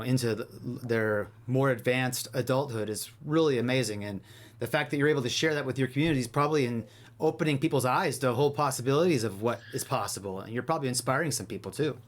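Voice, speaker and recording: A man's voice: mid-range voice, quick pace. A man of fifty hosting an interview, encouraging his guest and affirming with short replies. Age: 50s. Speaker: podcast host. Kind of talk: interview conversation.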